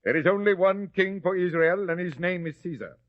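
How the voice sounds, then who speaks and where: A man's voice: high-pitched, brisk, dry recording, natural voice. A man playing an imperious Roman governor in a biblical radio drama, brusque and commanding. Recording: dry, slightly dull lo-fi recording.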